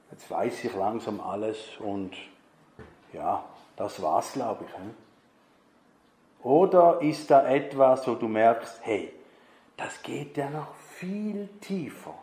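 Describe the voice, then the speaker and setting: Slow, chatty preacher. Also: male voice, medium pitch, darkish tone. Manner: chatty, asks the congregation questions. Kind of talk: conversational sermon. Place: church hall. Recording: echoey church hall.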